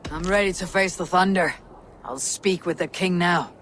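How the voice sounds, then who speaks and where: A woman's voice: fairly low pitch, fairly even intonation, moderate pace, fairly bright tone, slight background noise, lightly acted. Woman acting an earnest, proud Viking warrior woman. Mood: resolute. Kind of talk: dramatic video game voice acting.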